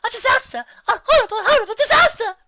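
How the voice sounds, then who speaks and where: Shrill, panicky little pony mare. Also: female voice, expressive, quick pace, pushed and bright, no room sound, dull audio, exaggerated voice. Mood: hysterical. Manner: shrill, wailing. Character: melodramatic, panicky young female earth pony.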